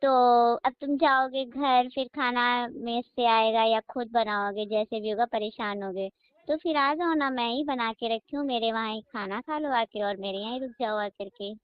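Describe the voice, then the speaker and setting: A lonely, flirtatious housewife played by an actress speaks in a fairly high voice. She coaxes persistently and suggestively, as if chatting on a private call. The recording is dull and dry. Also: normal speed, clean tone, no echo, close to an everyday voice. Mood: coaxing. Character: flirtatious, lonely married housewife, coaxing and forward. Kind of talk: staged casual phone conversation.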